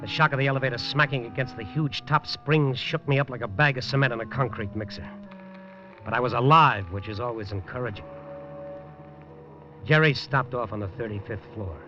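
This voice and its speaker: A man's voice: mid-range voice, fairly quick, pushed and bright, close to an everyday voice. An actor narrating as a wisecracking male newspaper reporter in a 1950s radio drama, dry and hardboiled. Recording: dull lo-fi 1950s radio broadcast with music.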